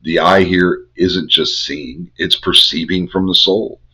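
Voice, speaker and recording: An older man with a head cold, hosting a Bible study and teaching in measured, incantatory short phrases. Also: low voice, steady tone, bright, forceful tone, husky. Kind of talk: spiritual teaching monologue. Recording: dull lo-fi recording.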